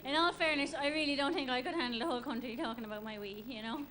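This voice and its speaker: A woman's voice: high-pitched, fast talker, sharp tone. A woman with an Irish accent doing a stand-up impression of a naive, chatty female athlete, rambling along with lots of verbal tags. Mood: chatty, confiding. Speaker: comedy show host, stand-up comedian. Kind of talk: stand-up monologue in character. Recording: live stage with crowd, faint noise. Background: live audience.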